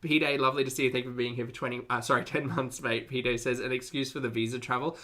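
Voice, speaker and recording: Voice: medium pitch, steady tone, fast, sharp tone. A streamer giving casual, wry live commentary to a chat, often chuckling and repeating phrases. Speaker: streamer reacting to videos and chatting with viewers.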